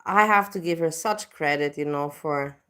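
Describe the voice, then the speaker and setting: A woman from Indonesia chats loosely on a livestream, circling back through fillers as she talks. Her voice sits a touch low for a woman. She hosts in a relaxed, rambling way. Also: normal speed. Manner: rambling, leans on "you know, like". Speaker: livestream host. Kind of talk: casual livestream chat.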